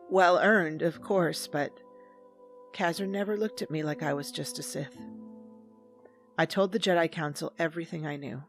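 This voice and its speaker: A woman's voice: sits low, vocal fry. An actress playing a cold, vengeful female commander who narrates and plots in a space audio drama. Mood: wistful. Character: cold, scheming vengeful woman, former Sith commander. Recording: dry studio with music underneath.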